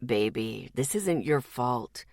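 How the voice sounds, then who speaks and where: A woman voicing a calm, steady, protective young man who speaks low, soft and even. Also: unhurried, no room sound, studio quality. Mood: gentle.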